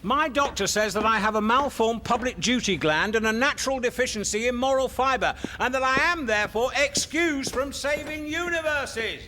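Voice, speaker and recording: An actor playing a flippant, cowardly space hitchhiker in a radio drama, sardonic and breezy. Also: male voice, extremely high pitch, normal speed, hardly any pauses, background noise. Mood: defiant.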